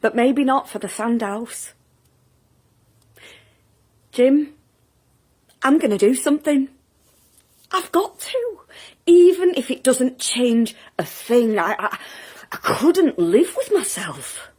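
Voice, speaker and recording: A woman's voice: high-pitched, sing-song, takes it slowly, long pauses, a little mellow, breathy edge, exaggerated voice. An actress playing an older, plain-spoken woman in a naturalistic audio drama, wistful and wry, sometimes hesitant. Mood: determined.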